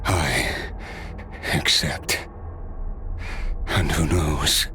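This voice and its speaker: A cursed immortal from a fantasy game with an extremely low voice. He is weary and resigned, and his grave, formal words come slowly and haltingly, with a bright, tense edge. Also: faint music behind, heavily acted. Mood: resigned, hopeful. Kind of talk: dramatic video game voice acting.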